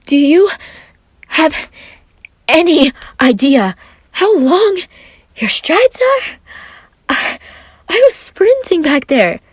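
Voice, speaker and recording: Woman voicing a feisty, dramatic little young man, high-pitched. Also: very animated, slow, long pauses, dry recording, clean studio sound, exaggerated voice. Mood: indignant. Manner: emphatic, teasing, exclaiming. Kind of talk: fan fiction audio story acting.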